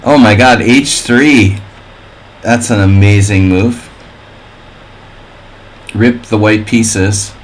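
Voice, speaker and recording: A man's voice: fairly low pitch, slow, pauses often, rough recording. A man streaming chess, calling out moves and thinking aloud between offhand jokes and wordplay for his viewers. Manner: wry and offhand, chatting with viewers. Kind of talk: live-stream commentary while playing a simul.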